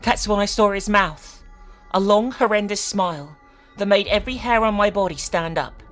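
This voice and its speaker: A woman's voice: medium pitch, moderate pace, a bit bright, voice pushed up, natural voice. Frightened young boy played by a woman narrator, earnest and suspenseful horror-tale testimony over music. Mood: tense, fearful. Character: frightened young boy recounting a night attack. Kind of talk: dramatic creepypasta reading. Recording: music behind the voice.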